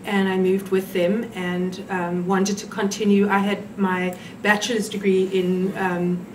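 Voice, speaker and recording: A woman's voice: medium pitch, flat delivery, a little slow, darkish tone, far from the mic, slight background noise. South African woman recalling, echoey room. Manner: reflective, with fillers and restarts. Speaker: interview guest. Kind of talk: conversational interview.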